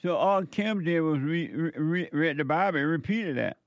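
A man's voice: a little high, normal speed, no room sound, dull audio. Casual male host, slightly raised voice, dry close mic, laughing, joking. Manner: laughing, repetitive, playful. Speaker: show host. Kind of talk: casual chat reacting to posts.

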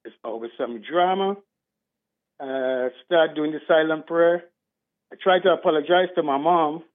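Caller: man, rambling and earnest, fairly high voice over a tinny phone line. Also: unhurried. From Trinidad. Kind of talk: call-in talk radio.

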